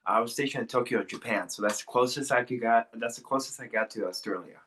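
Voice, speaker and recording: A young man, talking as a guest on a video call in a candid interview, rambling and halting with many fillers and restarts. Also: mid-range voice, fairly bright tone. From Russia. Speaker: podcast guest.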